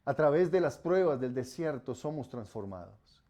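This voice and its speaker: A man from Colombia, preaching a sermon as pastor, rising on commands and dropping low and soft on solemn warnings. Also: lively intonation, moderate pace.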